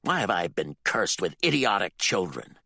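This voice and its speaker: A man's voice: deep voice, big pitch swings, normal speed, creaky, sharp tone, no room sound, studio quality, full character voice. A man voicing a cruel, scheming father who calls himself Reverend, sneering and threatening. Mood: menacing.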